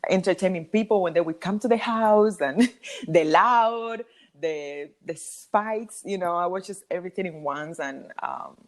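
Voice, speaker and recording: Low-voiced woman with a Cuban accent. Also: a little slow. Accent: Cuban Spanish. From Cuba. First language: Spanish. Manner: lively storytelling, frequent ums and laughs. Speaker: podcast guest, hair colorist. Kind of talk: casual interview talk.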